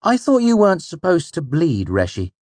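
A man's voice: on the high side, wildly swooping pitch, normal speed, a little mellow, no echo, pitched above the performer's own voice. A man voicing an indignant, theatrical young male fae creature, fussy and haughty, for a storybook. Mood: fussing, curious.

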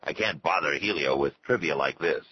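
A man's voice: extremely low pitch, normal speed, slightly rough, muffled recording. A man playing a brash, blunt, colloquial boss and hearty host in an audiobook. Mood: expansive.

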